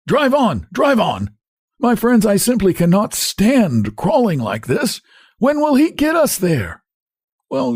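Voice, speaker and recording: A man voicing an abusive young hunchbacked man with a cracked, shrill voice. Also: a little mellow, dry recording, studio quality, full character voice. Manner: shrill, bullying, jeering.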